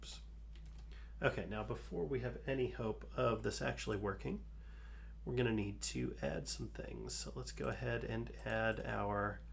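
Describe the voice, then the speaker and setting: Low gravelly guy slowly talking thru code on a stream, faint hum behind. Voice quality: soothing. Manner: slow, calm, talks through code with fillers. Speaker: livestream host. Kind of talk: live coding stream, thinking aloud.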